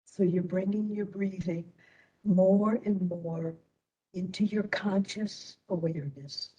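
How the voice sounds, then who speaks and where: Slow, mellow woman guiding meditation, slightly distant. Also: mid-range voice, steady tone, highs cut off. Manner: soothing, unhurried, with long pauses between short phrases. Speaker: meditation and yoga instructor. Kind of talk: guided meditation.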